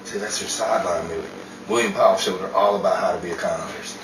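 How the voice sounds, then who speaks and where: A man's voice: low voice, fast, a bit bright, faint music behind. A man acting a bumbling, joking, film-obsessed husband in a 1940s radio play, with a hesitant manner. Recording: far from mic, echoey, noisy background.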